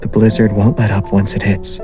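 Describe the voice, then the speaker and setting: An actor playing a calm, soft-spoken young man in a game, speaking in an unhurried, understated, intimate way. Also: lowish voice, lightly acted. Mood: quiet, reflective. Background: music.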